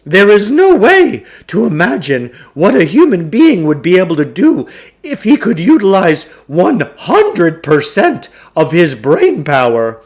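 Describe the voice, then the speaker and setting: A pompous storybook huckster, acted out by a man reading aloud, pitched very high and projected. He lectures grandly, with big swoops in pitch, as if every idea were a revelation. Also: huge pitch swings, a little slow, heavily acted. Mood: grandiose lecturing. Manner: grandiose, speaks of himself in third person. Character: pompous showman lecturer selling crackpot mind-control theories. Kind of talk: storybook character voice in a read-aloud.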